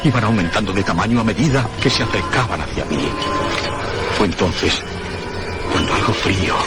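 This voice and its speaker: A man playing a solemn, haunted narrator in a radio horror drama, with suspenseful, deliberate dramatic phrasing. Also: lowish voice, lightly acted. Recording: noisy radio broadcast recording.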